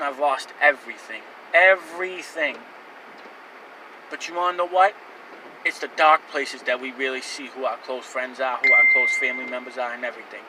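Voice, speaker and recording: Guy in his 20s rambling thru his life story, super bright voice, mic kinda far, faint street noise. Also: fairly high pitch. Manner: rambling, candid, with fillers and restarts. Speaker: vlogger. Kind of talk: personal life story to camera.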